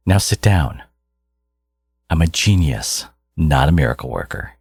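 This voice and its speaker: A man playing a menacing, condescending genius supervillain in an audio roleplay, exasperated and obsessive yet caring, with a low, gravelly, husky voice. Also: no room sound, heavily acted. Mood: exasperated, impatient. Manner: condescending, menacing, theatrical, slipping into whispers. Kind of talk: dramatic second-person audio roleplay monologue.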